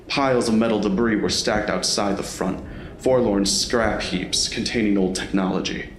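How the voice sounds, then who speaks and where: A man reading scripted narration for an audio drama. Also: lowish voice. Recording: roomy, echoing voice recording.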